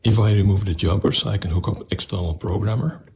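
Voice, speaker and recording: A man presenting a technical explanation of an electronics project in a halting, methodical, step-by-step way. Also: low-pitched, dark tone, a little hiss or hum.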